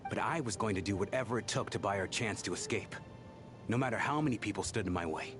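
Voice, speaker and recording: An actor playing the remorseful ghost of a devoted bodyguard in a video game, earnest, wistful and self-effacing. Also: male voice, on the low side, fast, close to an everyday voice. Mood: sorrowful recounting. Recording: game music behind the voice.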